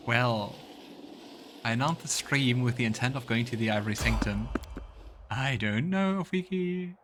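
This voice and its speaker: A man's voice: medium pitch, unhurried, background noise. A man giving casual let's play commentary on a video game, musing aloud to himself as he goes. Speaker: streamer playing and commenting on a game.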